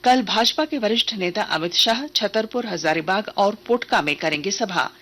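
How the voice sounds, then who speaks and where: A woman reading a scripted radio news bulletin in a formal, measured manner. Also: mid-range voice, expressive, a bit bright. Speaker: news reader.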